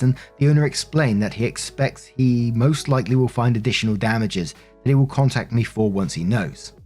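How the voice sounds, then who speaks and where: A man's voice: mid-range voice, warm tone, slightly rough. A man narrating online stories in a plainspoken, conversational way, as a host who reads aloud and comments casually. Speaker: narrator and host of a story-reading show.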